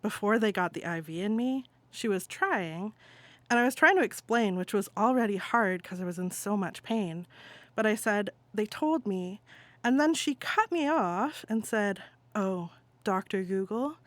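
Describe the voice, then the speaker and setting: A woman performing an exasperated adult venting to a cousin in a casual, halting phone-call monologue. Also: medium pitch, lively intonation, no room sound, close to an everyday voice. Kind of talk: dramatic monologue, conversational stage reading.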